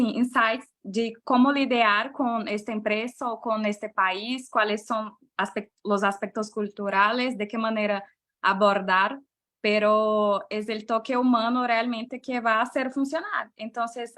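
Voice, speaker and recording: A woman's voice: mid-range voice, a bit bright. Hesitant woman moderating a panel. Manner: hesitant, searching for words, self-correcting.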